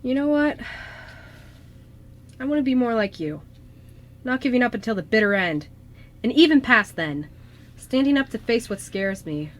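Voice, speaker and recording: A woman playing a gentle, earnest young male ghost in an amateur radio drama, speaking sincerely and haltingly to a friend. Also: on the high side, lively intonation, natural voice. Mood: earnest, tender.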